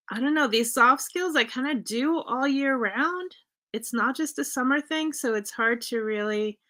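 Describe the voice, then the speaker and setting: A woman's voice: on the high side, a bit bright. A middle-aged woman on a panel, sharing personal anecdotes in a casual, informal, slightly rambling way. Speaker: panel speaker, parent.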